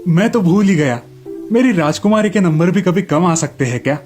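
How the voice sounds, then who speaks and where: A man's voice: high-pitched, lively intonation, moderate pace, a little mellow, faint music behind. A man voicing a genial, indulgent village sweet-seller and father in a children's story cartoon.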